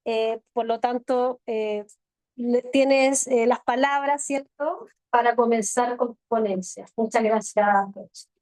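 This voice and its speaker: Woman, panel moderator, slightly high voice, hesitant fillers, dry sound. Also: fairly even intonation, takes it slowly, a little mellow, dry recording. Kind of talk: introducing speakers at a panel. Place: university event hall. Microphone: microphone at a panel table.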